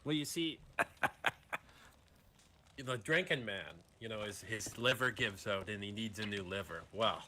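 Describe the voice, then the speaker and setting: A man roleplaying an amoral mad doctor in a Western game, explaining his schemes calmly and haltingly. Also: lowish voice, a little hiss or hum. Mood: matter-of-fact. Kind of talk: improvised online Western roleplay.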